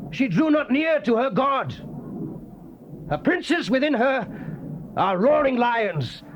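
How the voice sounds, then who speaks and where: A man with a British accent gives a solemn, theatrical, declamatory dramatized reading of the King James Bible. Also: very high-pitched. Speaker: dramatic scripture reader. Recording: noisy old cassette tape recording.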